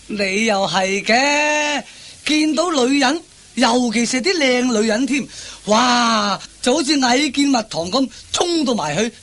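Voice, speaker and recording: An actor playing a teasing, goading Cantonese friend in a radio comedy play, with a needling, emphatic drawl. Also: male voice, very high voice, lively intonation, slow, a bit bright, heavily acted. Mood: mocking. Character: teasing, goading male friend of a henpecked husband.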